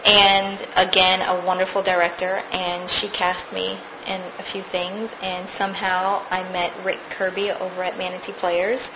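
A woman's voice: on the low side, level tone, moderate pace, few pauses, dull audio, noisy background. Actress telling her stage story. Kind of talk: casual interview.

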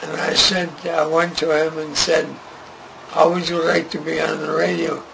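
An older man recalling his radio career in an interview, speaking haltingly and restarting often. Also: fairly high pitch, level tone, creaky. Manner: slow, halting, with many restarts. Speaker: interviewee, radio writer and director. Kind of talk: reminiscing interview. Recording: noisy recording.

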